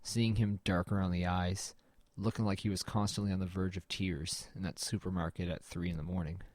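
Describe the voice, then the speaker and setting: A man's voice: deep voice, flat delivery, brisk, a little mellow, no echo, close to an everyday voice. A man playing a brooding, melancholy artist who narrates an audio drama in a reflective, understated, slightly hesitant way. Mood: brooding, uneasy.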